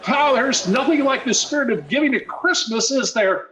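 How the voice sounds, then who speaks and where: A man's voice: very high-pitched, lively intonation, normal speed, a bit bright, a little hiss or hum, rough recording. A man playing a wheedling teenage schoolboy in old-time radio sitcom style, stammering and mock-modest. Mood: eager hinting. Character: wheedling teenage schoolboy, eager, guileless-sounding schemer.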